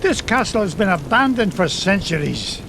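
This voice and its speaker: Casting: a bold old Scottish duck uncle for a cartoon game, high voice, very animated delivery. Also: male voice, moderate pace, creaky, noisy background, heavily acted. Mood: brisk, confident.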